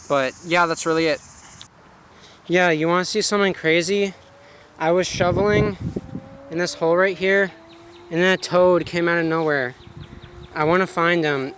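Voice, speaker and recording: A man's voice: on the high side, slight background noise. Rambling man filming his garden. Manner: rambling, relaxed, lots of "like" and "um". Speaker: gardening vlogger. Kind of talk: casual self-filmed vlog. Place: outdoors in a home garden. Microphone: handheld self-filming camera.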